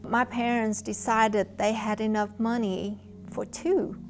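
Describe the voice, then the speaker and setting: A woman in her fifties with a Vietnamese accent, telling her own story in a measured, reflective way for a documentary interview. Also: fairly high pitch, flat delivery, faint music behind. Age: 50s. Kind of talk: personal testimony in a documentary interview.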